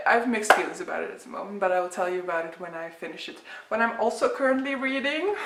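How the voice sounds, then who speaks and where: A woman's voice: mid-range voice, a bit bright. A woman in her thirties from Belgium with a Flemish accent, a native Dutch speaker chatting casually about books in a rambling way with frequent fillers. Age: 30s. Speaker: book vlogger. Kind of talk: casual chat to camera. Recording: echoey room.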